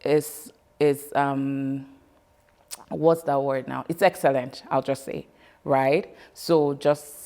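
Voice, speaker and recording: Low voiced woman talking about her photo business, lots of ums, hunting for words. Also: fairly even intonation, takes it slowly, dark tone. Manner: thoughtful, searches for words. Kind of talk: interview answers.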